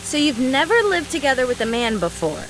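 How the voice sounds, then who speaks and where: A woman's voice: sits high, very animated, brisk, a little hiss or hum, rough recording. A woman voice-acting a brash, mischievous young woman fighter from a video game, teasing in a playful, folksy, mock-serious way. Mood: sly.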